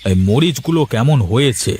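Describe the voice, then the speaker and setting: A man's voice: medium pitch, animated, brisk, darkish tone, husky. A man voicing an anxious, eager village farmer husband in a moral-story cartoon, hesitant and repeating his agreeable words.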